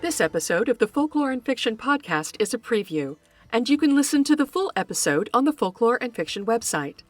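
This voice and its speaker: A woman's voice: a little high, very animated, a little fast. A woman podcast host reading a scripted announcement to listeners. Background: music.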